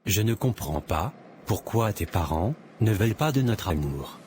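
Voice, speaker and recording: Earnest romantic lead for animation, male actor, early twenties, polite, notably deep voice. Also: expressive, measured pace, noisy background. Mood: hurt. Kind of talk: animated drama dialogue. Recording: music behind the voice.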